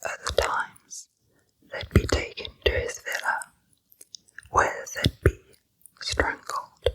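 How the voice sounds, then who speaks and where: A woman narrating true crime in ASMR style, in a hushed, breathy whisper with deliberate storytelling. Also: rough recording. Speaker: narrator, ASMR channel host.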